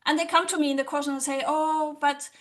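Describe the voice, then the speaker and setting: High-voiced woman, earnest and animated. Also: brisk. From Germany. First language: German. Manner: earnest, animated, often acts out her own past thoughts. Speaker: guest, social psychologist and language coach. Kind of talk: interview conversation.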